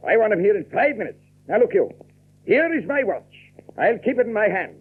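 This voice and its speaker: Overbearing, high-pitched pompous gentleman. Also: very animated, fairly quick, slightly rough, phone-quality sound, exaggerated voice. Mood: impatient. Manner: pompous, commanding, clipped. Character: overbearing, menacing, impatient wealthy male cousin. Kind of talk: theatrical audio drama acting. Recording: thin, band-limited old radio-drama recording.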